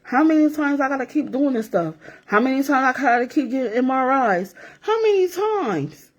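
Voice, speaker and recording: A woman's voice: sits high, expressive, normal speed, lo-fi sound. A woman in her forties talking straight to her viewers in a blunt, profane rant, repeating herself for emphasis. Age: 40s. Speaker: vlogger. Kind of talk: casual rant to camera.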